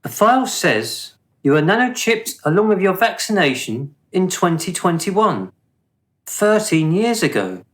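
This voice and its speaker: A man acts a smug, officious bureaucrat of the afterlife in a radio play. He talks slowly and deliberately, condescending and drily mocking, with an expressive, swooping pitch. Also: exaggerated voice.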